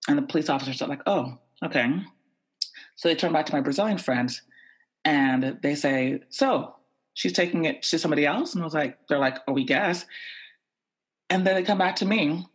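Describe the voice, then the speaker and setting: A woman's voice: sits low, normal speed, low-quality recording. A young woman telling a personal anecdote, animatedly voicing the people in it. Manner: lively, animated quoting of others. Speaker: storyteller. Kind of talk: personal anecdote told to an audience.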